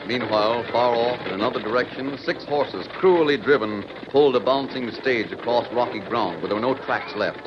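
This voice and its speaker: A dramatic western narrator read by a man with a medium-pitched voice. He delivers scripted narration in old-time radio fashion. The recording is dull and clearly noisy. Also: normal speed, hardly any pauses, lightly acted.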